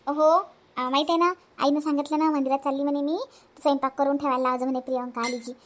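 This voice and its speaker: Dutiful, conciliatory eldest sister-in-law for a family cartoon, played by a woman: high, brisk, hesitant delivery, Varhadi Marathi accent. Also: level tone, a little hiss or hum, lightly acted. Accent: Varhadi Marathi from Vidarbha. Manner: conciliatory, hesitant, self-sacrificing. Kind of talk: homely family cartoon voice acting.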